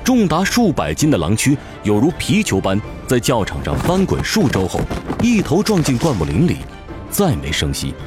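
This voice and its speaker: Highly animated male dramatic narrator. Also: moderate pace. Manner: theatrical, suspenseful storytelling. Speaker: narrator, professional voice actor. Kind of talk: dramatic scripted audiobook narration. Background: music bed.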